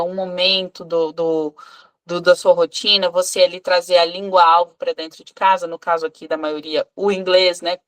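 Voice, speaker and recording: A woman in her thirties from Brazil, an English teacher, talking casually on a live video call with many fillers and restarts. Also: lowish voice. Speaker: English teacher and club co-host.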